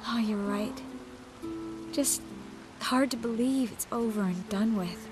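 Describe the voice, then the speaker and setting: A woman playing a tender, wistful, gently teasing young sorceress in a video game cutscene, speaking softly and intimately. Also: a little high, slow pace, over music. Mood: relaxed, teasing.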